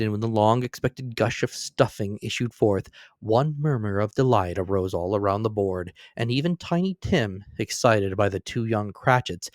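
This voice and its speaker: A man's voice: lowish voice, dry recording, clean studio sound. A man narrating a classic story as a dramatic reading, lifting and dropping his voice for emphasis. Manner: expressive, rising and falling for emphasis.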